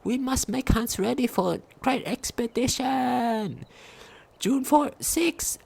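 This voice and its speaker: A woman's voice: on the high side, slow, gravelly, darkish tone, husky. A woman reading a game diary aloud as a video commentator, sometimes stumbling over her words.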